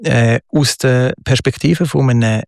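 A man's voice: mid-range voice, unhurried, vocal fry, a little mellow, no echo, clean studio sound. A man with a Swiss German accent, a guest telling his life story in an interview, thoughtful and hesitant with many fillers. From Switzerland.

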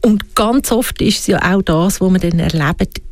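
A woman's voice: on the low side, normal speed, a little mellow, dry recording. A woman with a Swiss German accent talking in a reflective interview, often asking herself questions aloud. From Switzerland. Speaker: guest. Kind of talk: reflective conversation.

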